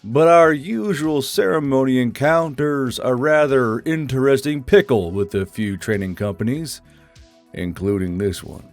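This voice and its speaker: An actor playing a tired, solemn male drill sergeant, giving a grave, deliberate war speech in an audio story. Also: mid-range voice, faint music behind, lightly acted. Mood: solemn, regretful. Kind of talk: dramatic audiobook character reading.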